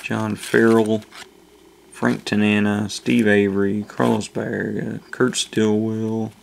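Slow, gravelly man listing names. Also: on the low side, flat delivery, a little hiss or hum, poor audio. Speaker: channel host opening card packs. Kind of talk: casual card-opening commentary. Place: indoors at a table.

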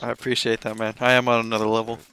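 A man's voice: mid-range voice, completely flat delivery, rapid-fire, bright, forceful tone, slight background noise. A man casually needling and taunting other players in online game voice chat.

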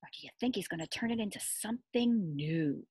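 A middle-aged woman reading a picture book aloud to young children as their teacher, expressive, asking questions and pausing for answers. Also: medium pitch, breathy edge, no room sound, studio quality. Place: outdoors among trees and shrubs.

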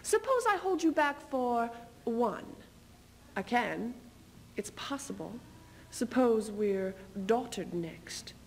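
Woman acting proud medieval queen, scheming, taunting, slow biting delivery, somewhat high voice, theatrical stage reading. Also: lively intonation, a little hiss or hum, rough recording. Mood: cool, taunting. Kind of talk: theatrical readers theater duo performance. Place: stage in front of curtain.

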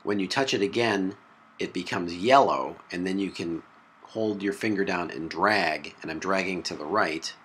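Low-voiced man giving a halting tutorial. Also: a bit bright. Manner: halting, step-by-step explaining. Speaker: tutorial presenter. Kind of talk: hands-on software tutorial narration. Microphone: built-in laptop microphone. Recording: noisy laptop mic sound.

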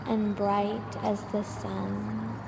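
A woman guiding a sleep meditation with affirmations, speaking in a soothing, measured way with long pauses, in a noisy, reverberant recording. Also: medium pitch, little pitch movement, vocal fry. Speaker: meditation guide.

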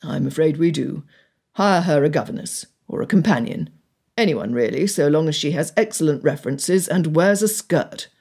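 A woman voicing an authoritative, formal gentleman uncle in an audiobook, with a commanding manner. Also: low voice, lively intonation, normal speed, gravelly, slightly rough, pitched below the performer's own voice, exaggerated voice. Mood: dry, measured. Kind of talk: audiobook character dialogue.

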